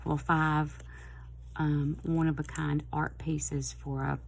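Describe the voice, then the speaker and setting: A middle-aged woman with a Southern US accent, chatting casually and a little hoarse, in a rambling, friendly way. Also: low-pitched, level tone, slow pace, slight background noise. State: slightly hoarse. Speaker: planner vlogger. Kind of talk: casual chat to camera.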